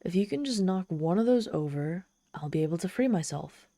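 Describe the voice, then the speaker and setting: Casting: woman to play a trapped tsundere demoness, low and soft-toned, proud yet desperately lonely, close dry ASMR roleplay delivery. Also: expressive, no room sound. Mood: sardonic. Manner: exasperated, sardonic, pleading, halting when vulnerable. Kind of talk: ASMR roleplay monologue, dramatic storytelling.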